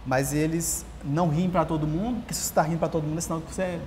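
Animated Brazilian man in an echoey hall. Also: fairly high pitch, very fast. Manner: animated storyteller, frequent restarts. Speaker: writer and journalist, guest. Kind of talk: casual interview talk. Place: large empty auditorium with red seats. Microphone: lapel mic. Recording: long hall reverb, faint hiss.

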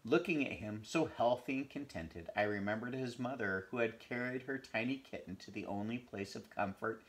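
A man in his fifties reading a children's storybook aloud in an expressive, animated way at home. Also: mid-range voice, normal speed. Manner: animated storytelling with asides to the listener. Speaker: storybook reader. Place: small furnished room at home.

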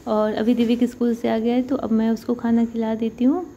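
A woman's voice: fairly high pitch, brisk. A woman in her thirties narrating a casual home vlog about household chores, chatting evenly from a room in her apartment into a phone camera. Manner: chatty, explains daily chores at an even pace. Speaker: vlogger. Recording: slightly dull phone audio with faint room noise.

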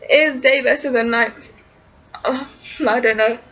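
A young English woman with a bright, high voice chats to her webcam, giggly and easily sidetracked. Her Liverpool roots barely show in her accent. The sound is dull and lo-fi, as from a cheap built-in camera mic in a small room. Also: steady tone, measured pace. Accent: English, little Liverpool. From England, born in Liverpool. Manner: giggly, scatterbrained, talks to her cat in baby talk. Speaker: vlogger answering viewer questions. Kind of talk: casual webcam Q&A chat. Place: small indoor room, seated against a wall. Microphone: webcam at arm's length.

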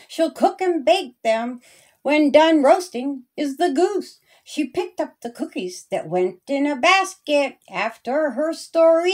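A woman narrating a rhyming children's story in a deliberate, sing-song manner, stretching the ends of phrases. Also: fairly high pitch. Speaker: children's author reading her own story.

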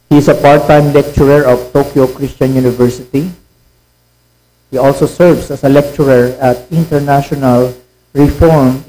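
A man with a dark, mellow voice introduces a guest preacher from a church pulpit. He reads the biography into a stand mic, adding small unsure asides, over a faint hum. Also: medium pitch, rough recording. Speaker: pastor introducing a guest speaker. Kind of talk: speaker introduction. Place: church sanctuary.

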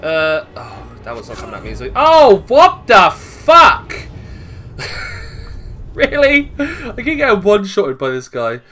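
A man's voice: high voice, huge pitch swings, unhurried, pushed and bright, soft background music. A British man giving casual gameplay commentary, thinking aloud, swearing and questioning himself as he goes. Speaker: gaming video host.

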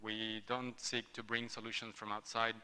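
A man from Mexico in his forties, pausing often with ums as he presents at a roundtable. His voice is bright and tense, and somewhat low. The conference table mic sits in a big hall with a long reverb tail. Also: level tone, clear, resonant tone. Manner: hesitant, many ums and restarts. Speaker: conference speaker, industrial designer. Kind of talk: panel presentation. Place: large hotel ballroom roundtable. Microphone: table gooseneck mic.

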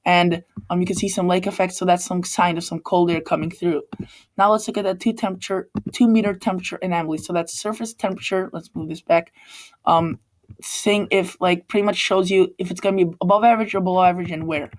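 A man's voice: high voice, steady tone, fast talker. A man with a Polish accent hosting a weather channel, talking loosely over forecast maps and often stopping to correct himself. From Poland. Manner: rambling, frequent restarts and self-corrections. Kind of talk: casual forecast over screen-shared maps. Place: Chicago.

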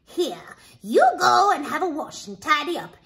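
Woman doing bossy ogre wife from a fairy tale, emphatic, high lively voice, brisk. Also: exaggerated voice. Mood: brisk coaxing. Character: great big tall ogre's wife, brisk, bossy.

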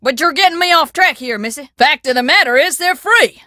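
Frontier shopkeeper, high-pitched, American country twang, folksy, brash, bossy, video game character. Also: female voice, lively intonation, a little fast, sharp tone, no room sound, clean studio sound. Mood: folksy, chatty. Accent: rustic American country twang. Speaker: keeper of an old-time museum and taffy shop.

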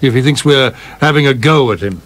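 An actor playing a cautious, polite, educated film producer in a radio play, measured and proper. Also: male voice, medium pitch, a bit bright, lightly acted.

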